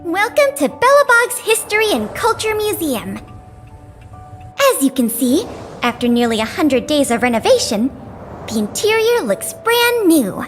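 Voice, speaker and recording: A woman's voice: very high-pitched, sing-song, a little slow, heavily acted. A woman voicing an earnest, friendly young tour guide in a video game, polite and eager to explain. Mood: eager, explanatory. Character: earnest, friendly young woman guiding visitors around a museum. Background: faint background music.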